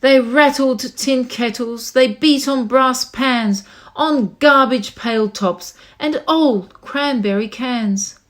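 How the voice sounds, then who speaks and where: A woman reading a children's story aloud expressively as narrator. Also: sits high. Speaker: storybook reader.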